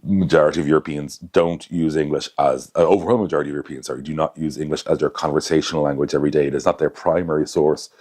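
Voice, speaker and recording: Young guy, super deep voice, chatty vlog rambling, keeps correcting himself. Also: fairly even intonation, racing, hardly any pauses, darkish tone. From Ireland. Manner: rambling, self-correcting, conversational asides. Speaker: basketball commentator, channel host. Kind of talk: casual analysis to camera.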